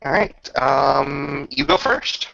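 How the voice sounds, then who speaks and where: A man with heavy fry and a forceful edge runs a tabletop roleplaying session as game master. His talk is informal and descriptive, moving between casual rulings and narration. The sound is slightly dull, like a lo-fi voice chat. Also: mid-range voice, slow, no room sound.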